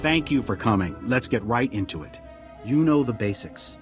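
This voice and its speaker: An actor playing a grave, urgent military intelligence director in a video game, briefing in a clipped, earnest way. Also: male voice, medium pitch, expressive, moderate pace, natural voice. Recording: dramatic music underneath.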